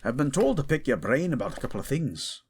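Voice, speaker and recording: A man playing a gruff, suspicious but loyal dwarf with earthy, informal speech in a fantasy audio drama. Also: medium pitch, big pitch swings, fast talker, slight background noise, pitched above the performer's own voice. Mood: hearty.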